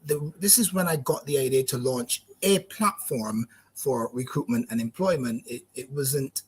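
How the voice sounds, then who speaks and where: Bajan guy with a slight accent, husky, rambles a bit and repeats himself, friendly. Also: mid-range voice, moderate pace. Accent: light Barbadian. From Barbados. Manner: talkative, friendly, repeats words while thinking. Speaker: guest, platform founder. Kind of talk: interview answers. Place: studio.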